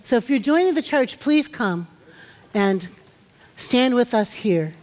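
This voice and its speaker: A woman's voice: on the high side, animated, takes it slowly, darkish tone. Exuberant woman pastor in echoing church. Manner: exuberant, whooping encouragement. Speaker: pastor welcoming new members. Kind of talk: church service leading. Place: large church sanctuary. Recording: church hall reverb with congregation noise.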